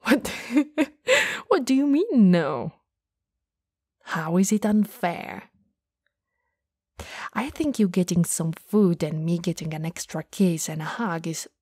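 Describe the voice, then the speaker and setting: A woman performs a playful, teasing goth older sister in a romantic ASMR roleplay. Her voice is dark and husky with breath, often slipping toward a whisper. She sits very close to the mic in a dry, intimate recording. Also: on the low side, dry recording. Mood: playful bargaining. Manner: soft, breathy, drifting into whispers. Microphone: close, dry studio mic.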